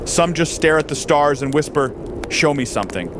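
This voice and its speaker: An actor playing a weary, candid time-traveling interviewer, speaking in a wry, understated, terse way in a conversational audio drama. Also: male voice, a little high, expressive, normal speed, background noise, close to an everyday voice. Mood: wry, reflective.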